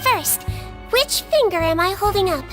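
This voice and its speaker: A sweet, clever little nurse from an animated game. Her voice is girlish and high, gently measured, with lively intonation over music. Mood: reassuring. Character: petite gentle young female head nurse, sweetly composed, clever. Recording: music behind the voice.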